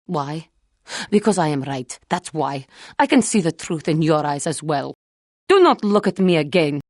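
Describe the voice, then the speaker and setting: Low, husky, tormented warrior woman. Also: animated, darkish tone, dry recording, clean studio sound. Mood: angry, defiant. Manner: formal, solemn, deliberate phrasing without contractions. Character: proud, tormented, repentant female fallen Jedi warrior. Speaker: video game voice actress. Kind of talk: dramatic video game character dialogue.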